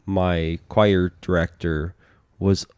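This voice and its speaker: A man's voice: low voice, level tone, darkish tone, clean tone, dry recording, studio quality. A man co-hosting a casual podcast, arguing his side and telling long stories. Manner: argumentative, drawn-out storytelling. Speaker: podcast co-host. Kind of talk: casual banter over drinks.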